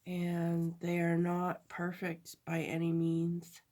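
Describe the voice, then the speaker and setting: A woman with a stuffy nose from a cold, chatting through a craft tutorial at her table, halting often and correcting herself. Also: fairly low pitch, completely flat delivery, dark tone. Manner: halting, chatty, many fillers and self-corrections. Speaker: crafter demonstrating a project.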